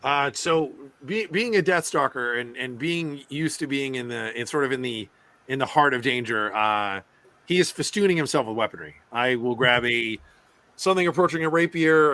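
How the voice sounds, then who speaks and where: Man, tabletop player, bright tone, dry close recording, casual, repeated phrases. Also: medium pitch, no room sound. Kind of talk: casual game chat.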